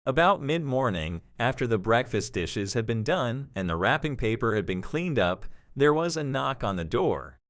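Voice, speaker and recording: A man's voice: medium pitch, big pitch swings, normal speed, few pauses, fairly bright tone, slight background noise. A man narrating a scripted story for an audio drama.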